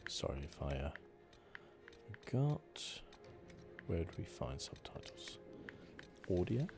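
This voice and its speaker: A soft-spoken man giving relaxed, unhurried and slightly halting ASMR commentary on a video game. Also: deep voice, vocal fry. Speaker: gaming channel host. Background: game music.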